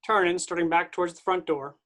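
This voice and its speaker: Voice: mid-range voice, fairly even intonation, fast, fairly bright tone. A reader speaking the stage directions of a staged play reading in a matter-of-fact way.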